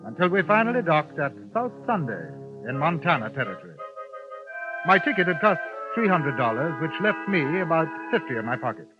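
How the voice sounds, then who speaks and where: An actor playing a polite, dryly understated English gentleman reporter in a 1950s radio drama, with an English accent. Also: fairly high pitch, sing-song, brisk. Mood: reflective. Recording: dull lo-fi 1950s radio recording with music.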